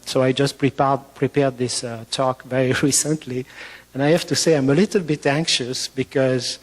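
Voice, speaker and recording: A man with a French accent giving a medical conference talk, hesitant with frequent fillers and restarts. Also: medium pitch. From France. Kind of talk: conference presentation. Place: conference hall. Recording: long reverb of a hall.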